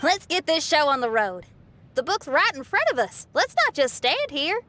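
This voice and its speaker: A woman's voice: high-pitched, sing-song, normal speed, pushed and bright, heavily acted. A woman voicing a breezy, casual adventurer in an anime game, with music behind her. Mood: eager.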